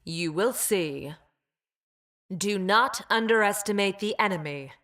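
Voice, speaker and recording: A woman voicing a cold, haughty, cat-like female bioweapon in a video game, speaking formally with measured, precise enunciation and clinical detachment. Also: medium pitch, fairly bright tone. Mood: stern, formal. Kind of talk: video game character voice lines.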